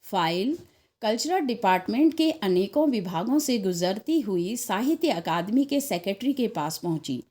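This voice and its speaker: A woman narrating a scripted story as an expressive storyteller. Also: mid-range voice, normal speed, breathy edge.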